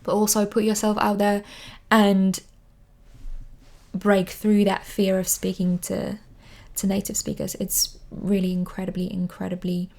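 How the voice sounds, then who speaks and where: A woman about thirty talks to camera in English, which is not her first language. Her pace is slow and unhurried, with frequent ums and restarts as she searches for words. She keeps stressing points by repeating them. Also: medium pitch, completely flat delivery, gravelly, darkish tone. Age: 30s. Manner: hesitant, many ums and restarts; earnest, repeats words for emphasis. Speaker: vlogger. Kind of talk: casual advice talk to camera. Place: living in London.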